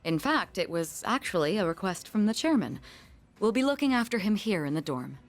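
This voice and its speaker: An actress playing a composed, studious student leader in a video game, formal and measured. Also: female voice, medium pitch, sing-song, faint music behind. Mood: explanatory.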